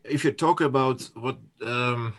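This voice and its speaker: A man's voice: medium pitch, unhurried, fairly bright tone. A man from the Netherlands with a Dutch accent, a non-native English speaker, talking casually as a guest with many hesitations and restarts. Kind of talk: casual podcast conversation.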